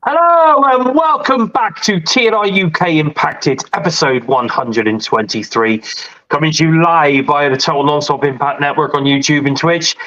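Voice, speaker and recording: A man's voice: on the high side, moderate pace, pushed and bright, highs cut off. A man hosting a casual wrestling podcast, congested with a cold and chatting in a rambling way with lots of fillers. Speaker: podcast host.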